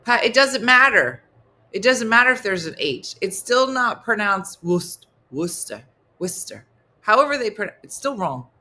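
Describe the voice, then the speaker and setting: A woman hosting a casual comedic morning show, chatting in an animated way with quick, exclaiming asides. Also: medium pitch.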